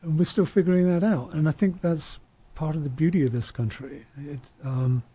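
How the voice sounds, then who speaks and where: Male panelist, mellow raspy tone, dry mic; thoughtful storyteller. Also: on the high side, fairly even intonation, normal speed, no echo. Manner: thoughtful, anecdotal, with frequent restarts. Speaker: panel discussion speaker. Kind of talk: reflective panel talk.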